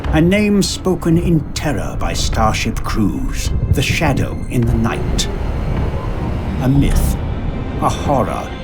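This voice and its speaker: An actor playing a grave scholar who narrates a dark video game chronicle in a solemn, portentous manner. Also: male voice, medium pitch, big pitch swings, slow, over music. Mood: ominous. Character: grave male scholar chronicling a haunted derelict ship's horrors. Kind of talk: dramatic scripted game narration.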